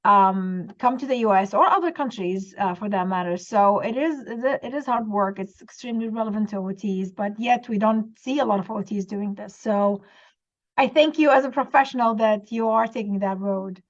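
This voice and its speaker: A woman interview host who asks questions warmly and admiringly, with frequent "uh" and "um" hesitations. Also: mid-range voice, moderate pace. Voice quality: warm and admiring, hesitant fillers. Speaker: interview host, occupational therapist. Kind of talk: conversational interview. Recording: dull lo-fi sound.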